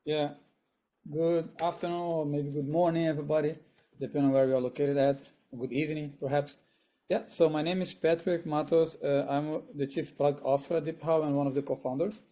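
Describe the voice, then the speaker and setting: Brazilian-accented man, mellow voice. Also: fairly high pitch, steady tone, a little fast. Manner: informal, repeats words, restarts phrases. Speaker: webinar presenter, product executive. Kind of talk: casual webinar introduction.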